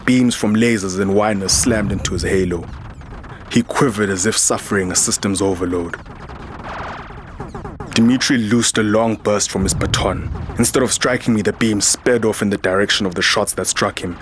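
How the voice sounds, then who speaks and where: A man's voice: on the low side, moderate pace, slight background noise, lightly acted. A man playing a weary, defiant soldier, narrating a science fiction audio drama in a grave, dramatic manner. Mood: tense narration. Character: weary, defiant male human soldier narrating his ordeal. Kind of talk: first-person scripted audio drama narration.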